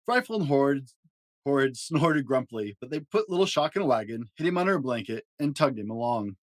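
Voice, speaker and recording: A man reads a picture book aloud in an expressive storytelling style. His pitch moves around freely for drama, and the sound is dry, with little room in it. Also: a little fast, no echo. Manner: animated, dramatic delivery. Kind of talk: expressive children's story narration.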